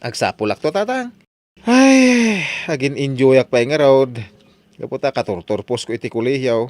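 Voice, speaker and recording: Man acting a lazy whiny young guy in a radio comedy, pitch jumps all over, carefree. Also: expressive, moderate pace, a little mellow, no room sound, lightly acted. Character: lazy, whiny unemployed adult son, comically carefree.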